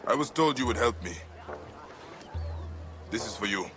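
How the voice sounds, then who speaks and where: An actor playing a grim, determined warrior of ancient Egypt in a game cutscene, solemn, terse and commanding. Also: male voice, fairly low pitch, expressive, moderate pace. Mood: stern, determined. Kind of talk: video game cutscene acting. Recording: loud music behind the voice.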